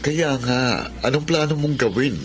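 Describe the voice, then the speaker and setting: A man playing a calm, conciliatory husband and son-in-law in a radio drama. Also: a little high, normal speed, natural voice. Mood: reasoning. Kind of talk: Filipino radio drama acting.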